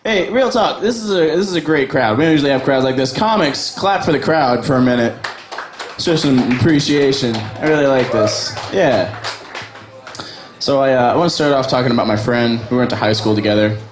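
A man's voice: mid-range voice, brisk, faint music behind. A young man from Virginia doing a casual, crude, self-deprecating stand-up set at an open mic in a small bar. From Virginia, USA. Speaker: stand-up comic. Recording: echoey bar with background noise.

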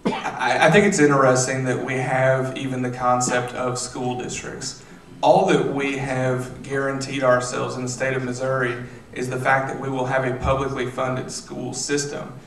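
A man in his thirties, a state legislator, answering questions on a panel with measured, filler-filled speech into a handheld mic. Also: medium pitch, flat delivery, a bit bright. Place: theater stage. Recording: roomy, distant, faint noise.

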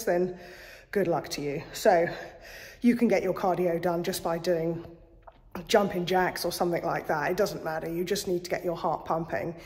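A woman with a lowish voice talks casually to the camera as she works out. She is wry and self-deprecating. The sound rings with the echo of a small, hard-walled indoor gym. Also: darkish tone. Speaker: vlogger, injured athlete training. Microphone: camera at arm's length. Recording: echoey, reverberant hard-walled room.

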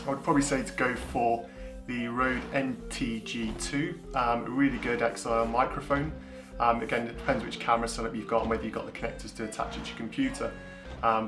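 Young man, deep voice, ums a lot, camera mic, roomy echo, guitar backing. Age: young adult. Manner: frequent ums, steady explaining tone. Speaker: retro gaming YouTuber. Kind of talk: casual tutorial talk to camera. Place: small indoor room. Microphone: camera-mounted shotgun mic. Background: guitar music.

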